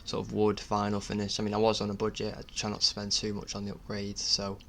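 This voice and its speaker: A man talks casually over his DIY build video in a low, very even voice. He is hesitant and modest, often halting as he explains. There is clear noise in the recording behind him. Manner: hesitant, halting, self-effacing. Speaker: DIY builder narrating own project.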